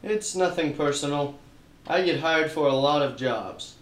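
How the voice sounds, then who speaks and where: A man's voice: fairly high pitch, a little slow, reverberant. A man narrating and acting as a wisecracking detective in a church radio play. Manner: wisecracking, hard-boiled narration.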